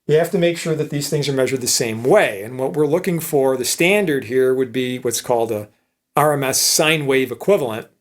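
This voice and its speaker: A man's voice: mid-range voice, fairly quick. A man giving an informal technical lecture in a conversational, explanatory manner, often checking understanding with short tag questions. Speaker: electronics professor.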